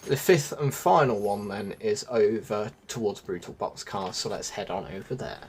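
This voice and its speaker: A man's voice: on the low side, a little hiss or hum. A man giving a chatty, casual game walkthrough, addressing his viewers directly as host. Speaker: gaming video host.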